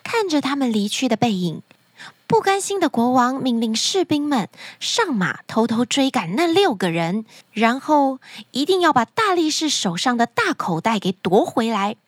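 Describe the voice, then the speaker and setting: A woman narrating children's stories as a podcast host, expressive and speaking directly to young listeners. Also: sits high, moderate pace, no room sound, studio quality. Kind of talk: animated storytelling narration for children.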